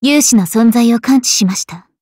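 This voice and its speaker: A woman playing a calm, dutiful valkyrie in an anime game, composed and formal. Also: a little high, moderate pace, no echo, studio quality, natural voice. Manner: composed, polite, military formality. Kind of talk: anime mobile game voice acting.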